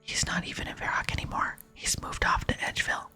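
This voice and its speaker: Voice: fast, pushed and bright, faint music behind. A person voicing a polite, pleading and slightly cheeky video game adventurer in a whisper. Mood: hesitant, pleading.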